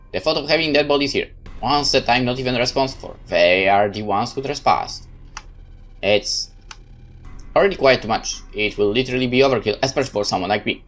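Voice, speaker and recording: A man narrating a horror visual novel in first person, calm, measured and brooding. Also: mid-range voice. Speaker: let's play host narrating a visual novel.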